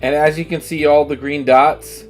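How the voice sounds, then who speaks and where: A man's voice: fairly high pitch, flat delivery, normal speed. Casual game-streaming man, music behind. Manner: opinionated, emphatic, sweary. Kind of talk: casual livestream chat over gameplay.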